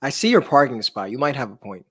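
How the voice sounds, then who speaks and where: A man's voice: medium pitch, sing-song, quick pace, fairly bright tone, breathy edge. A man, a mufti, co-hosting a children's story time with casual, joking chat.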